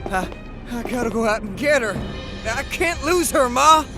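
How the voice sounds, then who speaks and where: A man's voice: very high voice, expressive, normal speed, over music. A man voicing a distraught, loyal farmer son pony in a dramatic comic dub, with a Southern US accent. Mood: desperate, angry.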